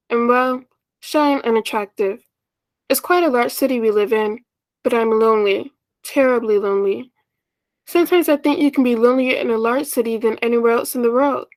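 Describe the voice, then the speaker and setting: A woman's voice: fairly high pitch, dry recording, lightly acted. A woman playing a shy, lonely, self-effacing older sister in a 1940s-style radio play, speaking wistfully and hesitantly. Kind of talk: 1940s radio play acting, first-person narration.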